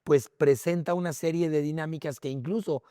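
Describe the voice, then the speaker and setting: A man's voice: on the high side, fairly even intonation, normal speed, mellow, no echo, clean studio sound. A man with a Mexican accent explaining things as a podcast guest in a conversational, didactic way, with short tag questions to check in. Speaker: guest expert on history.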